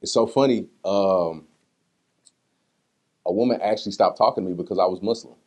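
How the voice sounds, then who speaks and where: Male interview guest, low-pitched voice, slangy and conversational, telling personal stories informally. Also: moderate pace. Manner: slangy, conversational, animated retelling with acted-out quotes. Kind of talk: casual candid interview talk answering personal questions.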